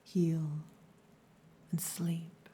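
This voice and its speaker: Casting: actress for a female orc girlfriend, ASMR roleplay. Low, breathy whisper, softly commanding, possessive yet tender. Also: monotone. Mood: possessive, protective. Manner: slow, hushed, softly commanding.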